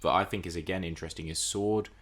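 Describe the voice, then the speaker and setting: Male, deep voice. Thoughtful podcast co-host, casual but analytical. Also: fairly even intonation, fast, a bit bright. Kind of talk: casual film and TV discussion.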